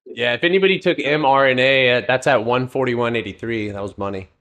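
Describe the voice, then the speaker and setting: A man's voice: mid-range voice, a little fast, a bit bright. A man in his late twenties hosting a live stock trading stream, chatting casually and rambling with jokes that trail off into mumbles. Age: 20s.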